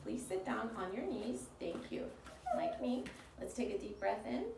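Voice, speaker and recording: High-voiced woman teaching kids' yoga, roomy sound. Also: very animated, normal speed, creaky, darkish tone. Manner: encouraging, simple instructions for young children. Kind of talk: guided children's yoga lesson. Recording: roomy, distant mic, faint background noise.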